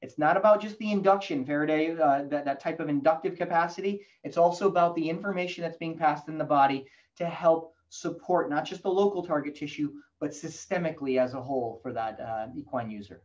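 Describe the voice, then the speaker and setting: A man's voice: a little high, a little fast, hardly any pauses, muffled recording. A man in his forties giving a fluent technical explanation as a guest expert on a video call. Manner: fluent, lecturing, animated gestures. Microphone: video call webcam.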